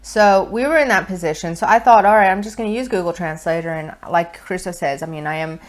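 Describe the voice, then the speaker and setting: Woman, thirties, lowish pitch, chatty explanatory tone, fillers, lapel mic on a couch. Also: fast talker. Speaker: co-host, online seller. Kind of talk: casual advice chat. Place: indoor living room on a couch.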